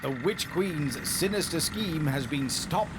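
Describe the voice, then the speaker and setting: Plummy, excitable English serial narrator. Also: male voice, fairly high pitch, unhurried, noisy background. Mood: grandly celebratory. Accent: upper-class English. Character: jolly, pompous old-fashioned British adventure-serial narrator. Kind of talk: 1930s newsreel-style dramatic narration. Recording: loud music behind.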